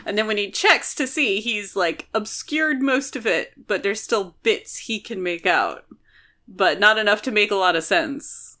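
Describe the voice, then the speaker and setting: A woman's voice: medium pitch, expressive, a little slow, bright, forceful tone. A woman co-hosting a podcast, explaining a story in casual conversation. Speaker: podcast co-host. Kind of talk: casual book discussion, explaining plot.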